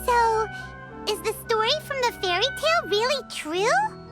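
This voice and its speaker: A tiny fairy companion with a very high, squeaky voice that bounces around wildly. She is chirpy and excitable, and talks about herself in the third person. Loud game music plays under her. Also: a little slow, heavily acted.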